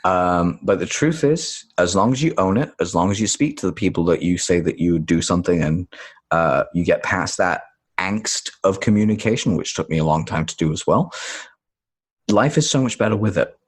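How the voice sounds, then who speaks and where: Very deep-voiced man. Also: normal speed. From Tanzania. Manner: relaxed, rambling, self-correcting. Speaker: guest. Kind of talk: podcast interview conversation.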